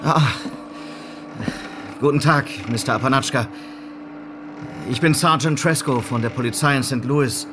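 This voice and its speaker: An actor playing a polite, somewhat unsure police sergeant in a German radio western, speaking formally. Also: male voice, mid-range voice, measured pace, pauses often, background noise, close to an everyday voice. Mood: polite, uncertain. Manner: formal, polite, slightly hesitant. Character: polite, unsure male city police sergeant seeking a scout.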